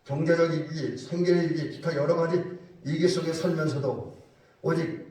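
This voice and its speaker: A male pastor with a stuffy nose and slightly hoarse voice from a cold, giving plain, hesitant church announcements and a benediction. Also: on the high side, fairly even intonation, normal speed, mellow. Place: church sanctuary. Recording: echoey church hall, mic far off.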